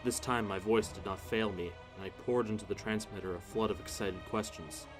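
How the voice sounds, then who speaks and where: A man's voice: on the low side, fairly even intonation, fairly quick. A man narrating a horror story in the first person, solemn and theatrical. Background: music.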